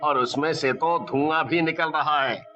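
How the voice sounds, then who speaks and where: A man's voice: fairly high pitch, steady tone, a little slow, pushed and bright. A man playing a frightened, hapless villager in a cartoon, with a hesitant, whining, exaggerated delivery. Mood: wary. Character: frightened, hapless male villager lured by a witch. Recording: dull lo-fi audio with music.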